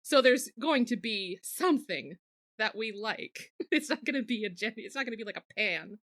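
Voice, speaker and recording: Woman on a podcast, bit high pitched, goofy and sweary, just chatting. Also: animated, normal speed, fairly bright tone. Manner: playful, irreverent, sweary. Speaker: podcast co-host. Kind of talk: casual chat.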